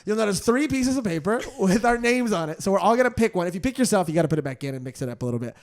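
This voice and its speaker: A man with a notably high voice co-hosting a comedy podcast. He talks in an animated, giggly way and breaks into laughter often. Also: very fast. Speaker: podcast co-host. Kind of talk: casual podcast chat.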